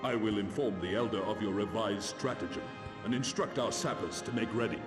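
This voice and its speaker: An actor playing a deliberate military ally in a fantasy game, speaking in a formal, considered way. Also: male voice, lowish voice, lightly acted. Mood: pensive, resolute. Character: measured male military ally, a deliberate tactician. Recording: roomy, long reverb, music behind.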